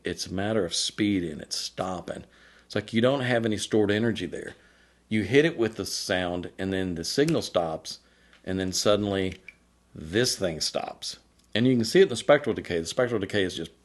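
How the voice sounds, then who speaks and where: A man speaking as a loudspeaker designer, explaining his products in an informal, colloquial and enthusiastic way. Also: on the low side. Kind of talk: informal product presentation to camera.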